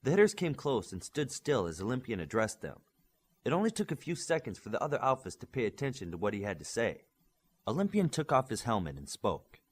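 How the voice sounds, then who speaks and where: A man's voice: medium pitch, sing-song, a little fast, breathy edge. A man reading a superhero novel as a scripted audiobook narration.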